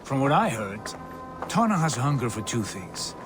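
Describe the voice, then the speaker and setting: An actor playing a confident, wry Viking leader in a video game, calm and authoritative in manner. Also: male voice, medium pitch, moderate pace, music in the background, lightly acted. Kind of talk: video game cutscene acting.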